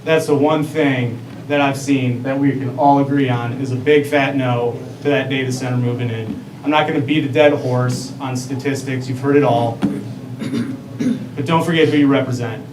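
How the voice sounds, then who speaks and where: A mail carrier speaking firmly and bluntly at a city meeting in short, punchy sentences. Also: male voice, mid-range voice, steady tone, normal speed. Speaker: public commenter, mail carrier. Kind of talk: public comment at a city meeting. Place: council meeting room. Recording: echoey hall, distant mic, noisy.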